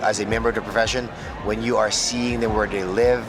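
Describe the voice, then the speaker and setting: A male podcast host interviewing a guest at a conference booth, effusive and enthusiastic, filling pauses with "mm" and laughs. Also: on the low side, level tone, noisy background. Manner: effusive, frequent "mm" affirmations and laughs.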